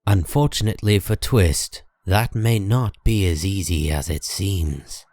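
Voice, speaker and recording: A man narrating a comic book story in a dramatic, deliberate storytelling style. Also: sits low, a little mellow.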